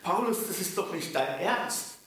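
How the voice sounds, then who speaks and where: Echoey, higher-voiced Swiss German preacher. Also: male voice, lively intonation, measured pace, fairly bright tone. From canton of Bern, Switzerland. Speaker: preacher and mission leader. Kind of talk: sermon. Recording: very echoey room, distant from microphone.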